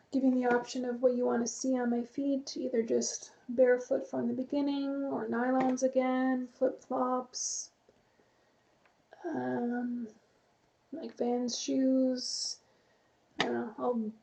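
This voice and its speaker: High, gravelly, flat-toned woman streamer. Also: muffled recording, slight background noise. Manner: relaxed, rambling, chatty with light laughs. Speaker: live streamer. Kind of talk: casual chat to viewers, reading chat aloud.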